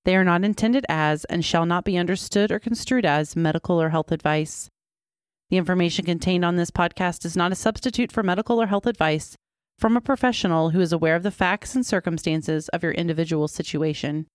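A woman announcer reading a scripted disclaimer and podcast intro in a smooth, even way. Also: fairly low pitch, a little fast, no room sound, studio quality.

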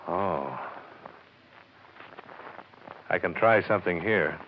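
An actor playing a hard-boiled insurance investigator in a 1950s radio drama, asking blunt questions in a clipped, dry way. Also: male voice, low-pitched, slow. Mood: cool, confronting. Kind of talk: 1950s radio detective acting. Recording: muffled, noisy 1950s radio recording.

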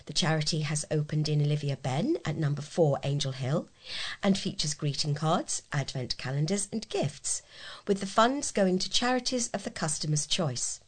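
A woman reads short local news items as a volunteer newsreader. Her voice sits a little lower than average, and she is plainly reading from a script. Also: expressive, moderate pace, husky.

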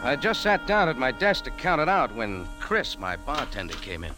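Tough male bar owner, sardonic, mid-pitched with lively inflection, hardboiled vintage radio, music behind. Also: normal speed. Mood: wry narration. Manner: dry, sardonic, clipped slangy talk. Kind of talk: 1940s radio acting with first-person hardboiled narration.

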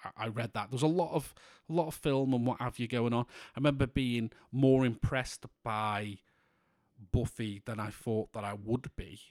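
A man from in England co-hosting a podcast in casual chat, with a monotone voice and a rambling way of talking full of restarts. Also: mid-range voice, moderate pace, dry recording, clean studio sound. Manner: rambling, many restarts and fillers. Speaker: podcast co-host.

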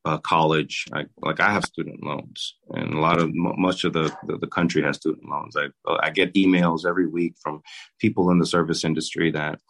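Young male mayor from the Dominican Republic, extremely deep voice, halting casual answers. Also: level tone, gravelly, a bit bright. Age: young adult. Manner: halting, with many restarts and repeated words. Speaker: mayor and city commissioner.

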